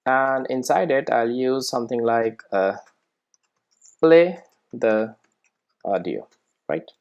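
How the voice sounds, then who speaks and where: Informal tutorial presenter with medium pitch. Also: slow, a little mellow, dull audio. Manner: explanatory, often checking in with "right?" and "okay". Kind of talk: informal step-by-step screencast tutorial narration.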